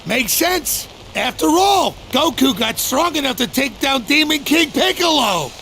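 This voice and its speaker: A man's voice: extremely high pitch, sing-song, a little slow, vocal fry, pushed and bright, slight background noise, full character voice. An actor voicing a small, skittish male talking-pig sidekick in an anime game. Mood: matter-of-fact. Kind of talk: English anime game voice acting.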